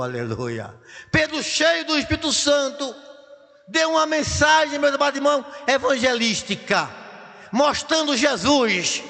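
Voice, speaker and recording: A high-pitched man delivers a Pentecostal sermon from a church pulpit. He repeats phrases in rising waves until he is shouting. Long hall reverb trails every line. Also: gravelly. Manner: impassioned preaching, rising to shouts, with repeated refrains. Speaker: pastor.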